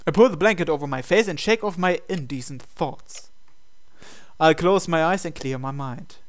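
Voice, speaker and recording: A man's voice: on the high side, normal speed. A man reading a visual novel aloud as a gamer, breaking into casual, incredulous asides. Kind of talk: game playthrough narration with casual asides.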